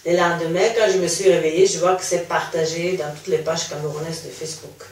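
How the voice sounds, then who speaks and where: A woman's voice: low-pitched, fairly even intonation, a little fast, slight background noise. A young woman whose first language is Italian, answering interview questions hesitantly with many fillers and restarts. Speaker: interview guest. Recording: echoey room, mic far off.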